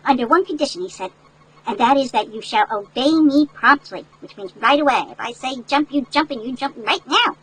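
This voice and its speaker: An older woman reading a picture book aloud to children in an animated, folksy way, with chatty asides that explain things. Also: sits high, a little fast, a little hiss or hum, poor audio. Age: older, great-grandmother. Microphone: headset.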